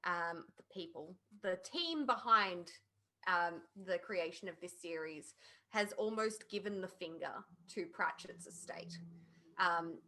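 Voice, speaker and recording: A woman in a casual podcast discussion, picking her phrases deliberately with pauses. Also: on the low side, pushed and bright. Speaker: podcast panelist.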